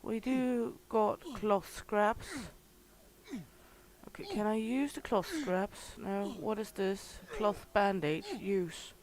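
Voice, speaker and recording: A woman with a slightly hoarse voice and a Danish mother tongue, casually commenting as she plays a game on a livestream and greeting her viewers by name. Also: medium pitch. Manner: unhurried, chatty, greets viewers warmly by name. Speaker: gaming streamer.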